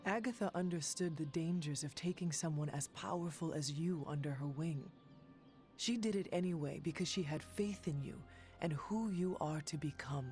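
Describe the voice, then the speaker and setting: Measured, formal warrior heroine acted by a woman. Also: low voice, darkish tone, soft background music, close to an everyday voice. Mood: gentle, consoling. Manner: measured, formal, few contractions. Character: stoic, formal warrior hero, calm and compassionate. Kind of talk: video game voice acting.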